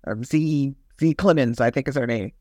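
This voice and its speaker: A woman's voice: extremely low pitch, moderate pace, warm tone, no room sound. A woman guest chatting casually on a business panel. The sound is dry and close, with hardly any room. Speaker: podcast guest, category management professional.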